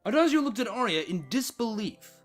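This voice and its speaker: A man's voice: high-pitched, very animated, fairly quick, soft background music. A man reading scripted storybook narration.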